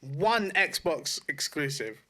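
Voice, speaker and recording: A man with a fairly high, very bright and forceful voice chats as a podcast co-host. His delivery is blunt and slangy, with swearing mixed into casual talk. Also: expressive, slow. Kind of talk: casual podcast chat about video games.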